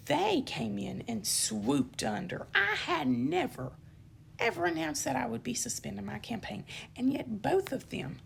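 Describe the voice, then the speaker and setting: A young woman with a dry throat gives an indignant, emphatic campaign statement, often pausing with ums and correcting herself. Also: fairly high pitch, creaky, a little hiss or hum. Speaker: channel host giving a campaign statement. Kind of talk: unscripted spoken statement to camera.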